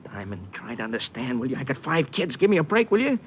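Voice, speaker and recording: A frightened truck driver played by a man in a vintage radio crime drama. His mid-pitched voice is agitated, halting and pleading. The recording is muffled with faint rumble. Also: very animated, natural voice. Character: scared, evasive male truck driver, family man. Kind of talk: 1950s radio detective drama acting.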